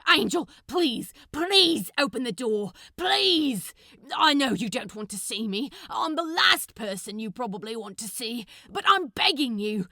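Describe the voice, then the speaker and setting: A desperate demon man in an audio story, acted out by a woman, loud and high, begging at full tilt. The voice is hoarse and frantic, swinging with urgency in a close, dry space. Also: dry recording, full character voice. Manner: frantic, pleading, shouting. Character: desperate male demon pleading at a door. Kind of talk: dramatized fan fiction reading.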